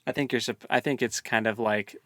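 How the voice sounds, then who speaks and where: A man's voice: medium pitch, steady tone, brisk, a bit bright. A man with a mid-range voice chats easily about a novel, agreeing a lot and laughing along. The sound is dry and close, with no room echo. His manner is laid-back and casual. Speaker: book club podcast co-host. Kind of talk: casual book discussion.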